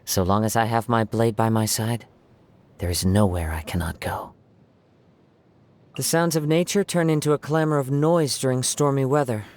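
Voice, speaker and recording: Serene young swordsman poet, soft-spoken and gentle, game character, played by a man. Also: medium pitch, normal speed, lightly acted. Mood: gentle reflective. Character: calm young male wandering samurai poet.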